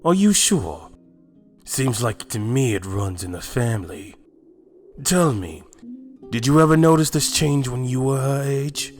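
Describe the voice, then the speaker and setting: A man's voice: mid-range voice, animated, measured pace, faint music behind, lightly acted. A man playing a proud, blunt alien warrior in a fan-made anime drama, challenging with pointed questions.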